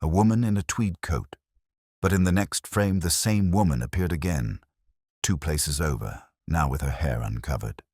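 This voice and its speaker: A man's voice: extremely low pitch, animated, moderate pace, darkish tone, husky, dry recording, clean studio sound. A man reading scripted narration for a mystery story.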